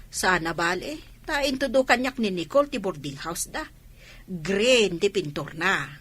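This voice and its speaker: A woman's voice: mid-range voice, lively intonation, measured pace, fairly bright tone, breathy edge. A woman playing a practical, friendly village woman in a radio drama. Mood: practical, coaxing. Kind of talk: radio comedy drama dialogue.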